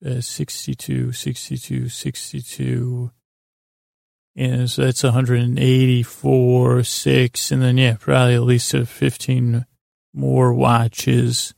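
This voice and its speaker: A man hosting a sleep podcast, rambling in a halting, meandering way with lulling, soothing tones. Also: mid-range voice, monotone, a little mellow, no room sound, clean studio sound. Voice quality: creaky, gravelly. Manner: meandering, hesitant, halting, trailing off into tangents. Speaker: podcast host.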